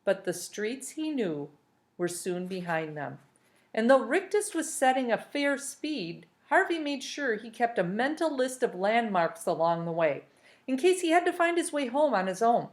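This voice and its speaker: A woman reading a storybook aloud as a teacher, in an expressive narrating style. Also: fairly high pitch, normal speed.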